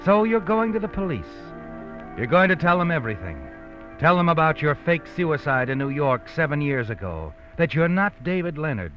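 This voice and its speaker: A man's voice: mid-range voice, lightly acted. Over music on a dull vintage radio recording, an actor plays an ominous American mystery narrator. He is knowing and taunting, and his delivery swings dramatically up and down. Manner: taunting, dramatic second-person address. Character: ominous, knowing, all-seeing male storyteller taunting the guilty man. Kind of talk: 1940s radio suspense narration.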